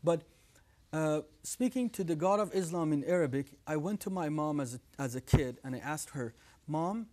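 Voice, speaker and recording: A man's voice: a little high, expressive, normal speed, darkish tone. Iranian-accented man in his thirties, earnest studio guest, lapel mic. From Iran. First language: Farsi. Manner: earnest, gestures with hands while talking. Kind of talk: testimony in a studio interview. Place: TV studio set with living room decor.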